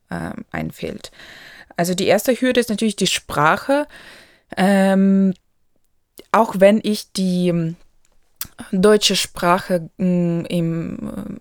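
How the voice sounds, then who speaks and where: A young woman tells her own story as an international student, in a slightly low voice. She talks hesitantly, often pausing and filling gaps with soft fillers. The sound is dry and close, with no room echo. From Ukraine. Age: 20s. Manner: hesitant, frequent pauses and fillers. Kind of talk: personal account.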